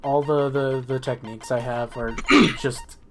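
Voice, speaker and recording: Male game streamer, mid-range voice, switches between read-aloud narration and relaxed chat, music behind. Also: mid-range voice, unhurried. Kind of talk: reading game text aloud and casual chat.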